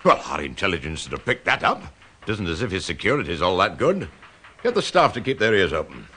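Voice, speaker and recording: A self-important older department head in a radio comedy. His voice is low and rough-edged with a forceful bite, swinging wildly in pitch as he blusters and bosses his staff. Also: very animated, fairly quick, full character voice. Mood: bossy. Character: pompous, vindictive, petty male department head, older. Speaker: office department manager. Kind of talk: British radio comedy drama acting.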